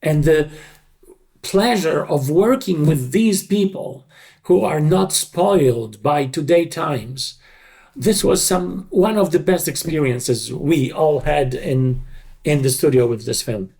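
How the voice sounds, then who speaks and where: Creaky-voiced man, deliberate interviewee. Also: a little high, expressive, a little mellow. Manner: deliberate, halting, emphatic short phrases. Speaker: dubbing creative director. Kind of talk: reflective interview talk.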